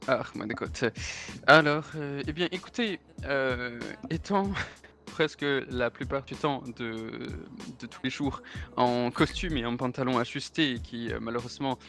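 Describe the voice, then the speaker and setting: A man's voice: medium pitch, a little slow, a bit bright, faint music behind. A man putting on a formal German-accented real estate agent. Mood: chatty, courteous. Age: 30s. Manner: formal, hesitant, drops German interjections. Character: 33-year-old German man, suave real estate agent and party lover.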